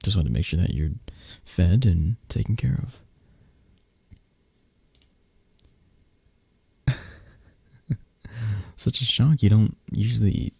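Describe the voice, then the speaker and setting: A man acting as a possessive mafia boss doting on his lover in soft ASMR roleplay. His low voice is very dark and mellow, with a gravelly, husky rasp. He speaks tenderly and haltingly, close and protective. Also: no echo, exaggerated voice. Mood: caring, gentle. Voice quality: husky, breathy. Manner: slow, hushed, tender, halting.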